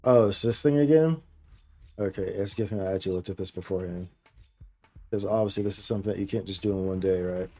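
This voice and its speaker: Casual male game streamer, mellow tone, slangy and repetitive. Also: sits low, fast talker, faint music behind. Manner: slangy, bro-heavy, repeats phrases for emphasis. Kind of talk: casual livestream chat while gaming.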